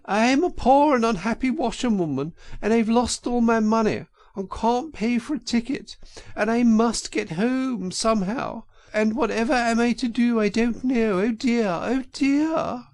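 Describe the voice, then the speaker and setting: A man's voice: a little slow, a little mellow. A man voicing a toad who poses as a poor washerwoman, tearful, pleading and self-pitying, in an audiobook. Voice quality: shrill, tearful falsetto. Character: sobbing toad posing as a poor washerwoman, wheedling. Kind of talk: audiobook character voice.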